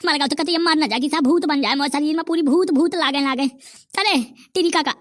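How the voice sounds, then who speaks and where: A woman voicing a brash, quick-tempered village character in a comedy cartoon, with a Bundeli accent. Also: high voice, no echo, natural voice.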